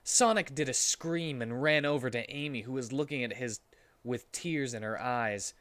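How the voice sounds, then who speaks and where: A man's voice: medium pitch, moderate pace, a bit bright, no room sound. A man narrating a story aloud in a mock-serious, theatrical style, playing absurd lines straight. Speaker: streamer reading a story aloud.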